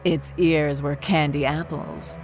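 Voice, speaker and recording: Low-voiced woman narrating horror, unhurried. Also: fairly even intonation. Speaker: story narrator. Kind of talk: scripted horror story narration. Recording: faint music behind. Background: faint music.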